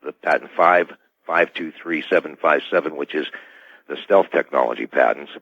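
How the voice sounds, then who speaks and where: A middle-aged man giving formal, halting technical explanations as an expert guest in a radio interview, over a dull band-limited connection. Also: very deep voice, creaky, slightly rough, no room sound. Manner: hesitant, formal, technical wording. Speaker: expert guest, scientist and inventor.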